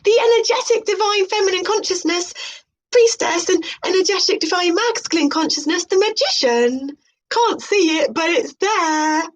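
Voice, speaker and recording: A woman's voice: extremely high pitch, moderate pace. A woman hosting a video, reading aloud about tarot with playful, chatty spiritual asides. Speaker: video host. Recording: muffled, dull lo-fi sound.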